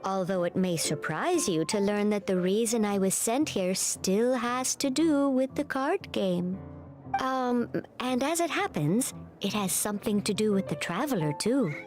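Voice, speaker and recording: An actress voicing a polite, scholarly young woman in a video game, speaking in formal, measured phrases. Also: mid-range voice, expressive, a little mellow, natural voice. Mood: polite, explanatory. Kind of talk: scripted video game dialogue. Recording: game audio with music underneath. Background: game music.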